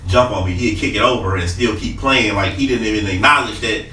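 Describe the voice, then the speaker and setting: A congested man talks in loose, profane banter with a bright, forward edge to his tone. He sits back from the mic in an echoey room. There is a faint hiss underneath. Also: lowish voice, brisk, recorded from a distance. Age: adult parent. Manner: joking, swears freely, mimics people. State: congested, stuffy nose. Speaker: podcast co-host. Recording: roomy, off-mic, faint noise.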